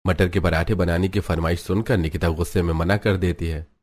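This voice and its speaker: Male storyteller for cartoon narration, low register, music underneath. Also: fairly even intonation, fairly quick, darkish tone.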